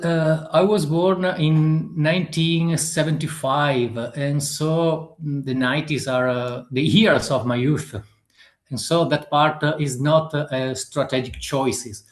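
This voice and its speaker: Italian guy in his 50s, fairly high voice, lots of uh and pauses while he looks for words, roomy echo. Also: fairly even intonation. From Italy. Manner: halting, frequent uh fillers, searching for words. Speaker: author guest. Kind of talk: interview answers.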